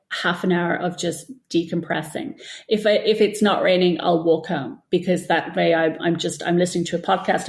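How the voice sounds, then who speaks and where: A woman's voice: on the low side, gravelly. A middle-aged woman talking casually as an interview guest, chatty and informal, thinking aloud as she goes.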